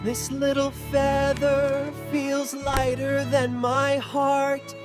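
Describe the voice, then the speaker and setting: A woman narrating as a lonely, heartbroken poor child in a cartoon story, mournful and drawn-out, with pauses between phrases. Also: sits high, monotone. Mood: mournful, longing. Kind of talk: scripted first-person story narration. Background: prominent music.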